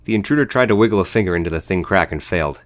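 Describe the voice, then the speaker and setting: A man's voice: sits low, expressive, racing, dry recording, studio quality. A man reading scripted audio book narration as the narrator.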